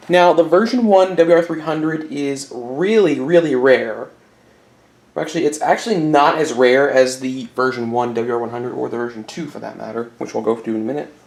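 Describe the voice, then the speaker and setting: Male online video host for a collector's showcase; creaky mid-range voice, casual and explanatory delivery. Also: medium pitch, a little mellow. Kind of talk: informal product showcase with buying tips.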